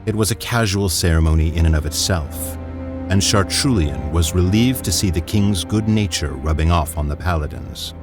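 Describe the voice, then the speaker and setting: A man narrating an audio drama in a calm, measured way. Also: deep voice, over music. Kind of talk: scripted narration.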